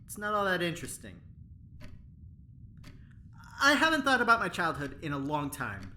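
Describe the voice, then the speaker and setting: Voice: mid-range voice, huge pitch swings, fast talker, sharp tone, full character voice. A woman playing a chatty but evasive, eager-to-please prisoner, chirpy and hesitant, in a sci-fi audio drama. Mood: awkward, evasive. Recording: faint background noise.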